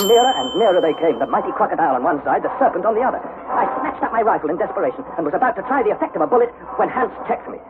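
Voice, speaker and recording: An actor playing an earnest young adventurer, narrating perils theatrically in a vintage radio drama. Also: male voice, high-pitched, animated, very fast. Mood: tense, urgent. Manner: theatrical, suspenseful. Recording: narrow-band vintage radio broadcast, music behind.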